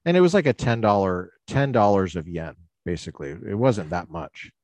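A man hosting a podcast who tells a personal story in an animated, casual way, often restarting his sentences. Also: lowish voice, normal speed, dry recording. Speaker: podcast host.

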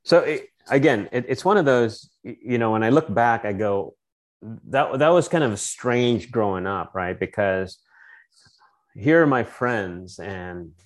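A man's voice: on the low side, expressive, a little slow. A middle-aged man from the Philippines, a guest in a casual interview, reflecting on his youth in a storytelling way with many restarts.

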